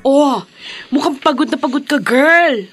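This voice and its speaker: Young best friend, high-pitched, upbeat, slangy, exclamatory, worldly, radio drama acting. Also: female voice, unhurried, loud music behind the voice. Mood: breezy, caring.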